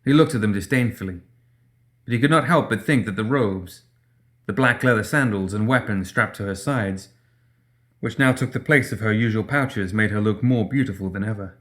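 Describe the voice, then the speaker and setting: A man's voice: on the low side, normal speed, a bit bright. A man reading scripted dramatic fiction as an audiobook narrator.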